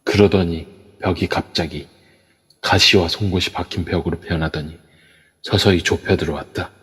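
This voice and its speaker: Brief: steady male narrator, very low and husky, audio drama. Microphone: phone via a voice chat app. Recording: long reverb.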